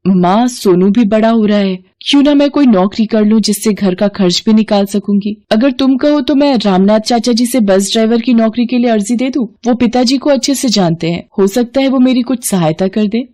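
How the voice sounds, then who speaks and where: A woman voicing a gentle, dutiful young wife in a storybook drama, speaking politely and earnestly. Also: a little high, normal speed, hardly any pauses, close to an everyday voice.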